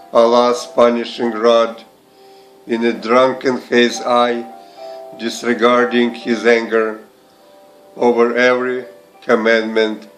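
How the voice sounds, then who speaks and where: A man reading verse aloud in a measured recitation, in short deliberate phrases set apart by pauses. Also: mid-range voice, rough recording. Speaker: poetry reader and translator. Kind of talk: slow, measured poetry recitation.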